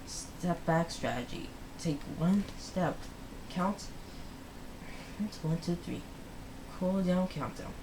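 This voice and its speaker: A woman hosting a read-aloud, reading slowly for young children in the manner of a picture book. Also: fairly low pitch, animated, noisy background.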